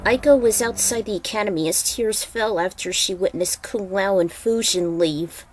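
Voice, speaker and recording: A woman's voice: medium pitch, moderate pace, low-quality recording. A woman narrating a piece of fan fiction, reading the prose aloud as a dramatic story.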